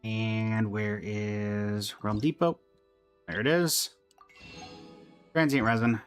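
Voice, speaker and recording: A man's voice: lowish voice, fairly even intonation, slow pace, smooth, soft background music. A man streaming a video game, giving casual live commentary, greeting viewers and making playful asides to himself and the characters.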